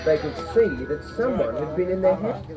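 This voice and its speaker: Woman narrator, low and very animated, children's fairytale delivery with dramatic expression, loud music behind. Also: brisk. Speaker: storyteller in a recorded fairytale at a children's attraction. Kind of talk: scripted children's storybook narration.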